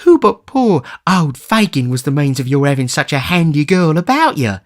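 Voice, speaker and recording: A man voicing a scheming old male fence who wheedles and pleads in a high, whining, sing-song voice in a storybook reading. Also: sing-song, normal speed. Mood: wheedling. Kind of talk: audiobook character reading.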